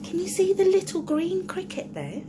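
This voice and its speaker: A woman's voice: very high-pitched, sing-song, normal speed, very noisy. A woman reading a picture book aloud to young children in a sing-song storytime voice, often stopping to ask the listener questions.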